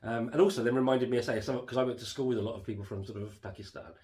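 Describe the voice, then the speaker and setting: Man, casual home chat, rambling with fillers, slightly hoarse voice, mid pitch. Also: steady tone, racing, a little mellow. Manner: rambling, lots of fillers and restarts, casual swearing. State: voice going hoarse. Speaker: podcast co-host reviewing a TV series.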